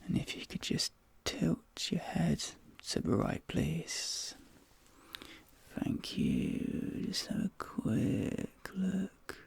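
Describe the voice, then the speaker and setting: A breathy, close-up whisper with heavy crackle in it, speaking extremely slowly. The speaker plays a gentle, reassuring dentist for ASMR, offering soft short phrases in a very dry recording. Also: medium pitch, huge pitch swings, no echo. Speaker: ASMR performer playing a dentist. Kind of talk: ASMR role play, whispered personal attention. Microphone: close ASMR microphone.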